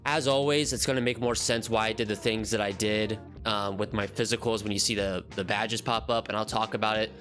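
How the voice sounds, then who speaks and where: Male gaming host with a head cold. Low, bright, slightly nasal voice. Loose, rambling commentary, soft music underneath. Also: brisk, hardly any pauses. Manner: relaxed, rambling, frequent ums and restarts. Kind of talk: casual game commentary walkthrough.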